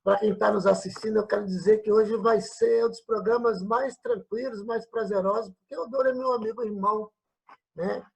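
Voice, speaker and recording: A man's voice: high-pitched, fairly even intonation, a little fast, gravelly, breathy edge, rough recording. A man with a Brazilian accent from São Paulo state, hosting a casual, friendly talk-show interview. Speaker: host of an online political and cultural talk show.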